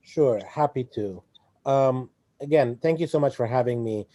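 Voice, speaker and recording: A man's voice: medium pitch, normal speed, dark tone, no room sound. A man in his forties from Egypt, speaking as an interview guest in a hesitant way, with many fillers and restarts. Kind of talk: conversational interview.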